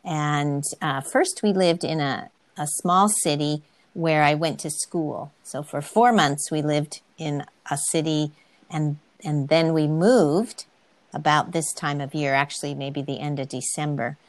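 A woman interviewing a student, speaking calmly in a conversational tone and sharing memories from her own youth. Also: low-pitched, a little slow. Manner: calm, conversational, telling a personal story. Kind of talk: casual conversation.

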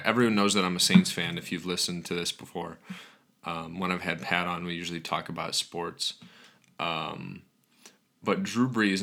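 Groggy young man, deep bright voice. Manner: rambling with frequent ums and restarts. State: groggy just after waking. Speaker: podcast host. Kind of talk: casual solo podcast talk.

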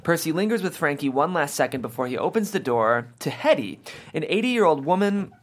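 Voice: mid-range voice, lively intonation, a little fast. A narrator reading screenplay stage directions and scene headings aloud at a table read.

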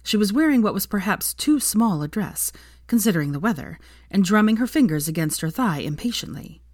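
A woman's voice: mid-range voice, very animated, brisk, darkish tone, no echo, clean studio sound. A woman narrating scripted fiction as a story reader.

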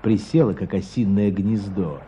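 A man's voice: low-pitched, unhurried, mellow. A man acting in a radio drama as a reflective writer out hunting, speaking lyrically and without hurry. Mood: dreamy, admiring. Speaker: narrator, a writer and hunter. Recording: dull sound, outdoor ambience noise.

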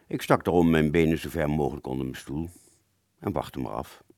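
A man's voice: rumbling low, fairly quick, darkish tone, no echo, studio quality. A man narrating a first-person story for an audio play, hesitant and conversational, drawing out words and suddenly stressing others.

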